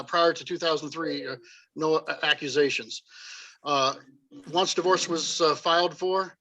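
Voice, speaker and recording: A man telling his personal story as a talk-show guest, halting with frequent fillers. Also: on the high side, fairly bright tone, rough recording. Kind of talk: personal testimony.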